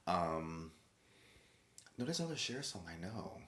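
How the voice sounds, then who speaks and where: A man hosting a solo podcast, talking casually in a rambling, chatty way with many restarts and lively quoted voices. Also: low voice, unhurried, reverberant. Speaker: podcast host.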